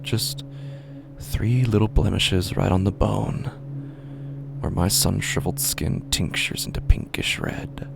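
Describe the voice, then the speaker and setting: Man acting as a deep, gravelly, menacing street busker. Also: heavily acted. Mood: brooding, bitter. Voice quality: gravelly, breathy, often sinking toward whisper. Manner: slow, halting, brooding delivery with drawn-out pauses. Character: menacing, self-pitying male street busker drifting toward violence. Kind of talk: first-person horror audio drama monologue. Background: music under the voice.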